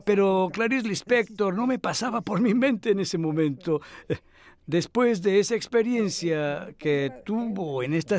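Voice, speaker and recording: A man's voice: high voice, darkish tone. A man dubbing an elderly male philosopher in an interview, speaking in a reflective, hesitant, conversational way. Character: elderly male philosopher reminiscing, thoughtful and modest. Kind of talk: Spanish voice-over dub of an interview.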